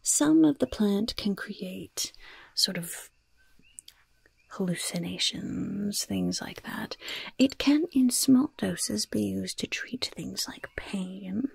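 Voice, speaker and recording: A woman voicing a mischievous goblin shopkeeper in a whispered ASMR roleplay, with sly, gleefully polite sales patter in a breathy, gravelly voice. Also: medium pitch, animated, takes it slowly, no room sound, exaggerated voice. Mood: conspiratorial, sly. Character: mischievous goblin shopkeeper selling poisons and cursed items.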